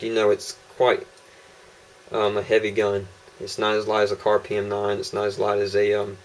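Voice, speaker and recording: A young man talks through his handmade holster prototypes in a loose, rambling way, filling pauses with ums and uhs. His voice sits a little low, picked up by a camera mic on a tripod. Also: a little hiss or hum, rough recording. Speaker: holster maker showing prototypes. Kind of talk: casual product demo vlog.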